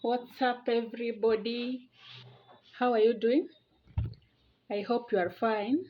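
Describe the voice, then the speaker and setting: A woman presenting an informal explainer, talking haltingly and repeating phrases for emphasis. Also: a little high, steady tone. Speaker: video presenter. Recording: dull lo-fi audio.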